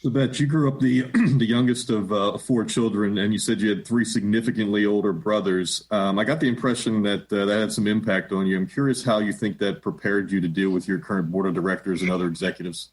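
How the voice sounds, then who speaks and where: A male panelist asks his question in a deliberate, hesitating way, thinking aloud as he goes. His voice is low and husky, and he pauses often before reaching the point. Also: fairly even intonation.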